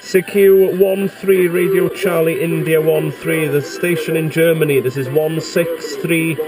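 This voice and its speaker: A man from Wales with a Welsh accent, working a CB radio and slowly spelling out call signs. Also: fairly high pitch, steady tone, loud music behind the voice, poor audio. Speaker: CB radio operator.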